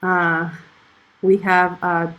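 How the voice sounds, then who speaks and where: A woman's voice: fairly low pitch, little pitch movement, drawn-out words, fairly bright tone, muffled recording. A woman casually walking through her dubbing work on screen, hesitant and full of ums and restarts. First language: not Icelandic. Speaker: dubbing producer. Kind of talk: casual screen-share walkthrough.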